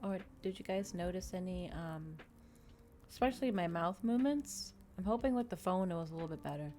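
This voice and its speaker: A woman's voice: lowish voice, expressive, brisk, dark tone, a little hiss or hum. A woman hosting a livestream, chatting casually with viewers in a rambling way, often repeating and restating her phrases. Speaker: VTuber livestream host.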